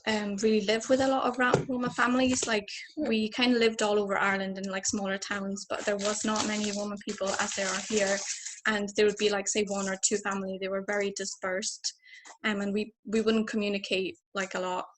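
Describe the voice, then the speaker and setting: A woman in her twenties from Romania, telling her personal story earnestly on a video call panel, with many fillers and restarts. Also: mid-range voice. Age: 20s. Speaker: panel speaker. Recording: clear noise behind, baby at home.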